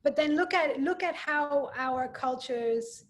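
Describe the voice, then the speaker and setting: A woman's voice: high voice, slow pace, a little hiss or hum. A woman podcast guest speaking in a thoughtful, articulate way during a reflective conversation. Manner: thoughtful, articulate, with frequent agreeing interjections.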